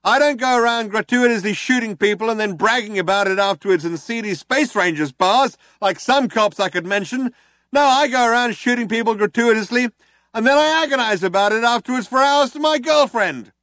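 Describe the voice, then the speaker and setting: A man voicing a self-righteous male alien cop who shouts through a loud hailer and lectures while he threatens. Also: very high voice, a little fast, no room sound, pitched above the performer's own voice. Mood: pompous shouting.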